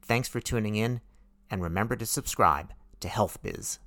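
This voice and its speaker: A man's voice: lowish voice, normal speed, a bit bright, faint music behind. A man hosting a business podcast, reading an intro and then interviewing in a friendly, joking way. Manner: friendly, joking, some restarts. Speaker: podcast host. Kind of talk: casual interview with scripted intro.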